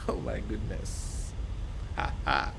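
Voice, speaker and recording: Animated man giving an emphatic motivational talk. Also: lowish voice, takes it slowly, creaky, noisy background. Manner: emphatic, repetitive, with sudden loud exclamations. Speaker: motivational speaker.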